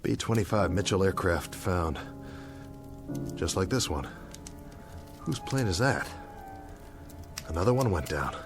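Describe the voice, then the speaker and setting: Dazed American bomber captain, swinging pitch. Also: male voice, animated, unhurried, pauses often, music in the background. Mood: weary, hopeful. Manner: talking to himself in broken, searching phrases. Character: dazed, guilt-ridden young male bomber captain stranded in desert. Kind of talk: dramatic radio drama monologue.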